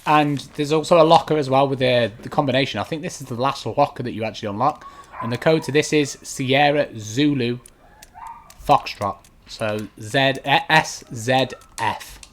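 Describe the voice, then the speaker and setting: A man's voice: mid-range voice, moderate pace. A man giving casual video game walkthrough commentary, explaining each step as he goes. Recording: faint keyboard and click noise.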